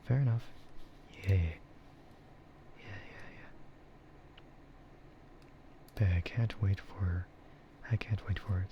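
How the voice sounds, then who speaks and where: Male ASMR streamer: deep, slow, breathy half-whisper, laid-back rambling, slightly muffled recording with faint noise. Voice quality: soft, breathy, often slipping into a whisper. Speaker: streamer and VTuber host. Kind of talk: ASMR casual chat.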